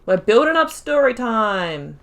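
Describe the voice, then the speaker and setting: A woman's voice: a little high, very animated, unhurried, vocal fry. A woman hosting a video game playthrough, talking in a chatty, rambling, self-deprecating and jokey way as she comments on her play. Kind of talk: casual gameplay commentary.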